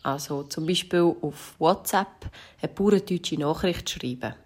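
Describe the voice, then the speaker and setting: A woman from Lucerne with a Lucerne Swiss German accent explains things carefully, spelling out single letters. Her voice is lower than most women's. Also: unhurried, slightly rough. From Lucerne, Switzerland. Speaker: contributor. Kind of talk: recorded personal statement.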